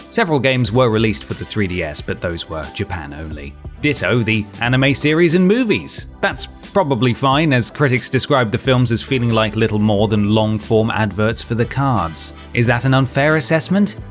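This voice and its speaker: A man reading scripted narration for a ranked list, with a wry, dry sense of humour. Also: medium pitch. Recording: music behind the voice. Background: background music.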